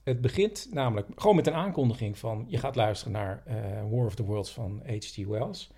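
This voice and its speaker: A man with a husky voice chatting casually as a podcast host, hesitantly searching for his words. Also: mid-range voice, expressive, brisk, warm tone. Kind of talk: casual storytelling chat.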